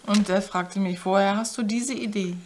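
An older woman interpreting a talk in a studio, halting, with many fillers and self-corrections. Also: medium pitch, steady tone, darkish tone. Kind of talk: consecutive interpreting. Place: studio with backdrop.

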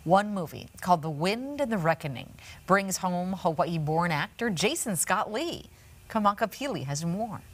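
A woman news anchor in a studio introducing a field report in a crisp scripted delivery. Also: fairly low pitch, animated, moderate pace, a little hiss or hum.

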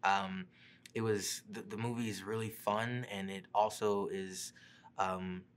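Young man, lower pitch, relaxed press-interview chat, studio seat. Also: unhurried, smooth. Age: young adult. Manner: relaxed, many fillers and restarts. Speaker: actor being interviewed. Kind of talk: casual interview answers. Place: studio seat before a printed backdrop.

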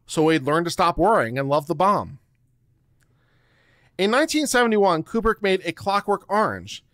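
A middle-aged man giving a theatrical, deliberate dramatic reading of a written text, close on the microphone. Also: high-pitched, a bit bright, no room sound. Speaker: host reading a document aloud. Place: small home studio room. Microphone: close microphone on a boom arm.

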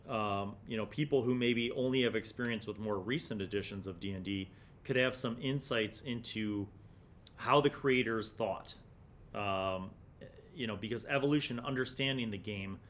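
A middle-aged man hosting a tabletop gaming retrospective, talking casually and reflectively, explaining things in halting phrases with repeated words, into a studio microphone. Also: fairly low pitch, a bit bright, a little hiss or hum. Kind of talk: casual commentary on old gaming magazines. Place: small indoor studio room. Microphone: large desktop studio microphone.

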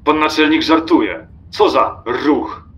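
An actor playing an irritable, contemptuous train passenger in an audio drama, lecturing obsessively and emphatically. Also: male voice, fairly high pitch, slow, fairly bright tone, dull audio, slight background noise. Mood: scornful, challenging.

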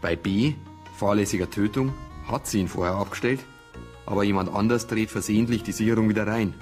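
An actor playing a methodical, probing police detective with a Bavarian accent in a radio crime drama. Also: male voice, on the low side, fairly quick, darkish tone, faint music behind, close to an everyday voice.

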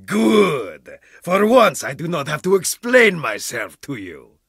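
A sharp, high male voice with big swings of pitch, playing a menacing tomb archaeologist in a game. He is curt, imperious and sardonic, speaking slowly and deliberately. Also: big pitch swings, slightly rough, dry recording, heavily acted. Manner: curt, imperious, dry sarcasm. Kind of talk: video game voice acting.

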